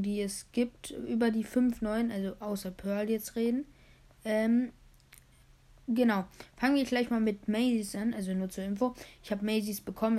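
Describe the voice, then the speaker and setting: Young female podcast host, hoarse, chatty, fairly high voice, rambles with fillers. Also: dark tone. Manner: rambling, many fillers, playing a game while talking. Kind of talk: casual gaming podcast chat.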